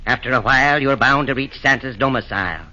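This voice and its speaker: A sly, pompous chairman of fiends running a meeting in a 1940s radio comedy. He speaks in sing-song rhymes with a very bright, forceful tone. The old broadcast sound is muffled. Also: mid-range voice, fairly quick.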